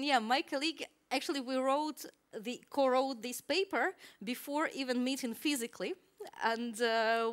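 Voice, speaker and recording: A woman's voice: fairly high pitch, a bit bright. Ukrainian-accented woman, hesitant answers. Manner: hesitant, many fillers and restarts. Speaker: guest speaker. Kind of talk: answering questions at an academic talk.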